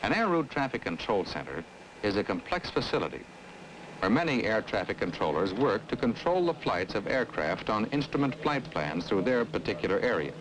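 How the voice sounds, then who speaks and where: An earnest 1960s American air traffic official in an educational film. His low voice moves in lively swings as he explains things in measured, affable fashion. The old soundtrack carries faint noise. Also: rough recording. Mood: earnest explaining. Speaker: actor as air traffic specialist. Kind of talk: scripted 1960s educational film dialogue and narration.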